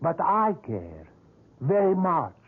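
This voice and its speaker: An actor playing an older male sculptor in an old-time radio drama, formal and courteous in speech but quietly insistent and obsessive. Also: a little high, huge pitch swings, takes it slowly, slightly rough, exaggerated voice. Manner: formal, effusive, pleading politeness. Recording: tinny band-limited vintage radio recording.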